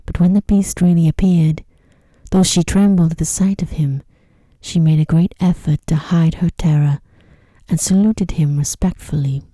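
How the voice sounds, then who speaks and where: A woman tells a bedtime story in soft ASMR style. Her voice is low, very dark and relaxed, with careful, hushed enunciation. The sound is close and dry. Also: moderate pace, no room sound. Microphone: close microphone in a dry space.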